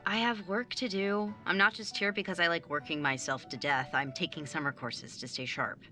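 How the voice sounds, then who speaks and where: A woman playing a driven, overachieving young student and younger sibling in an audio drama, brisk, businesslike and easily exasperated. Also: fairly low pitch, steady tone, pushed and bright, faint music behind. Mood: defensive.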